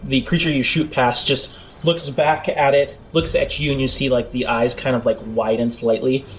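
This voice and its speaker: A man's voice: medium pitch, fairly even intonation, normal speed, low-quality recording. A man improvising the narration of a tabletop role-playing game as game master. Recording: clear background noise.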